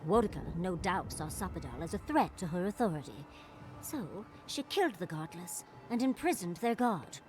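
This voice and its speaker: A woman's voice: fairly low pitch, very animated, normal speed, a little mellow, faint music behind. A woman playing a wry, self-assured, scholarly adventuring companion in a video game. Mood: knowing. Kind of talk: video game cutscene dialogue.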